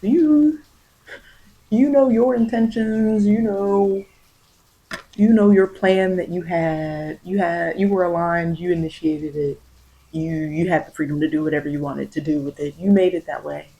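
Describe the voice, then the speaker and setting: Halting, musing tarot reader with a medium pitch. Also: steady tone, mellow, slight background noise, low-quality recording. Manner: repeats phrases, halting and musing. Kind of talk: ASMR tarot reading, rambling and improvised.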